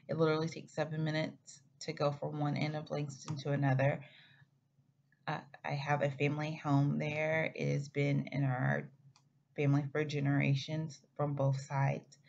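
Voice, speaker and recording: Low, gravelly-voiced woman in her thirties. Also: flat delivery, moderate pace, a little mellow. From Oklahoma, United States. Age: 30s. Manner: chatty, rambling, frequent self-corrections. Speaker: flight attendant vlogger. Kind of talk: casual chat to camera. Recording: slightly muffled with faint background hiss.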